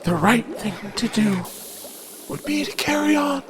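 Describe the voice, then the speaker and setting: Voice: mid-range voice, lively intonation, measured pace, creaky, noisy background. An actor playing a self-important, whiny ruler in an audio drama, speaking in a plaintive, melodramatic and hesitant way. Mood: self-pitying, insistent.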